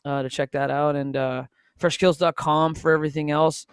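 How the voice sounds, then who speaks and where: A man's voice: fairly high pitch, little pitch movement, moderate pace, clean tone, no echo, clean studio sound. A man in his forties from Toronto, a music producer talking in an earnest, reflective way on a casual podcast chat. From Toronto, Canada. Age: 40s. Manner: earnest, reflective, restarts often.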